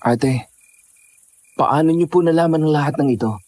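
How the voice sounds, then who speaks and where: A man's voice: a little high, moderate pace, darkish tone, natural voice. A man playing a polite, grateful young survivor in a horror audio drama. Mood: earnest.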